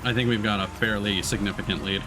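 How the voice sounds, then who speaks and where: A man's voice: lowish voice, fairly even intonation, fast, music in the background. A man streaming a game with casual, informal, sweary live commentary. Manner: informal, sweary, self-critical. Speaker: streamer, VTuber.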